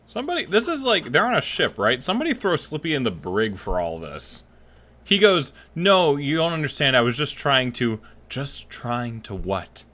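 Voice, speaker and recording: Male let's play host: bright, forceful, mid-pitched, sarcastic and exasperated in casual reactions. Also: very animated, moderate pace. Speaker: gaming video host.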